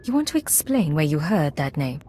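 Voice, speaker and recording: An actress playing a secret agent in a video game, speaking in a terse, dry, businesslike way. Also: female voice, deep voice, sing-song, normal speed, mellow, faint music behind. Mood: guarded.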